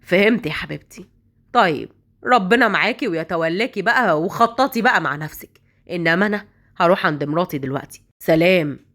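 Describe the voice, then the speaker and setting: A slick young Egyptian schemer, as a woman puts him on. The voice is dropped low beneath hers, and he speaks smoothly and lively. It is a spoken storybook voice. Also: a little fast. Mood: dismissive. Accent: Egyptian Arabic. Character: scheming young man sweet-talking his lover.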